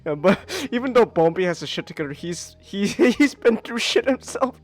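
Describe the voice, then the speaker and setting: A man co-hosting a casual podcast, excitable and stammering, laughing often and breaking into squeaky character voices. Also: moderate pace, faint music behind. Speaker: podcast co-host. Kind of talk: casual chat.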